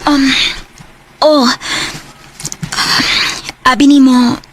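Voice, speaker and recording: A woman's voice: high-pitched, fairly even intonation, extremely slow, background noise. An actress playing a guilt-ridden young woman in a radio drama, speaking in a hesitant, contrite way. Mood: guilty, contrite. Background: music.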